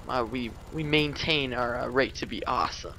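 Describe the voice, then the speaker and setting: A man's voice: medium pitch, normal speed, sharp tone, a little hiss or hum, rough recording. A young adult man from Canada giving casual, rambling commentary over gameplay, chatty and full of fillers and restarts. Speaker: gaming commentator.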